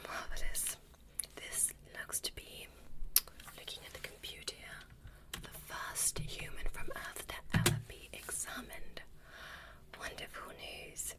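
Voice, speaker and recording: Woman to play courteous alien space doctor for ASMR roleplay; hushed whisper, unhurried, soothing instructions. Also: close to an everyday voice. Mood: pleased, observing. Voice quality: soft breathy whisper. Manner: slow, soothing, gently instructing. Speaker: ASMR performer.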